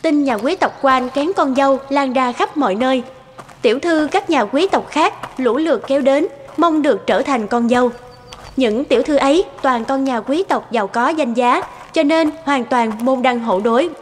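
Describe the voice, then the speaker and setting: A woman's voice: high voice, moderate pace, slight background noise, lightly acted. A woman narrator reading a scripted fairy tale.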